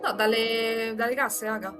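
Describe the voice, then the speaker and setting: A woman with a rather high voice takes part in a casual livestream chat. She speaks in a colloquial, informal way while music plays clearly behind her. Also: steady tone, unhurried. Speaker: participant in an amateur live reading stream.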